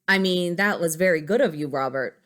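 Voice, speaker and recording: A woman voicing a stern monster schoolteacher in a children's storybook, with emphatic, drawn-out exclamations. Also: lowish voice, close to an everyday voice. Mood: gushing, then curt. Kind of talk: children's storybook read-aloud.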